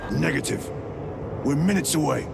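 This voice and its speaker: A man playing a stern, commanding super-soldier officer in a video game, speaking tersely and with authority in a gravelly voice. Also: on the high side, lively intonation, moderate pace. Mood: grim, urgent. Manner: terse, authoritative, barking orders. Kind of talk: dramatic video game voice acting. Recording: loud music and battle noise behind.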